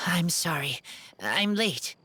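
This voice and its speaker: An actor playing a gentle, taciturn wild teenage boy in a video game, speaking in halting, terse, simple phrases. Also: medium pitch, animated, background noise. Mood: subdued. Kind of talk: video game cutscene acting. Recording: clear music behind the voice.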